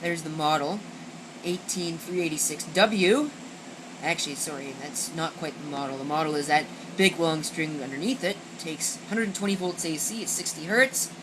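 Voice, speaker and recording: A woman's voice: low-pitched, normal speed. A woman giving a casual, unscripted product walkthrough, rambling and matter-of-fact as she reads out packaging and corrects herself. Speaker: gadget reviewer showing an unboxing. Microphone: built-in camera microphone. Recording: steady background hum, amateur camera audio.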